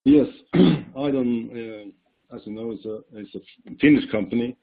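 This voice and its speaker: A man's voice: medium pitch, unhurried, creaky, slightly rough. A man with a Norwegian accent presenting his company on a panel, careful and hesitant with many fillers. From Norway. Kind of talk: business presentation. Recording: tinny phone-line audio.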